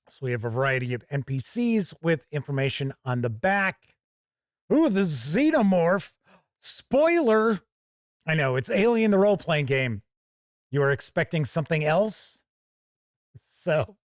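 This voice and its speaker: A man hosting a gaming channel, giving a conversational review to camera in a folksy, measured way with emphatic phrasing. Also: fairly high pitch, no room sound, studio quality.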